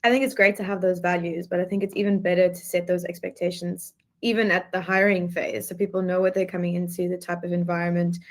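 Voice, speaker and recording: A woman's voice: lowish voice, little pitch movement, fast talker, few pauses. A woman interviewing a guest, engaged and affirming as she builds on his points before asking her next question. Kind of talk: interview conversation.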